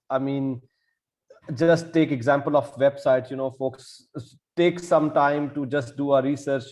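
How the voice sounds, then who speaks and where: A man giving unscripted remarks as a panelist, halting and repeating words as he goes. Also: medium pitch, darkish tone. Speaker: panelist from the organization.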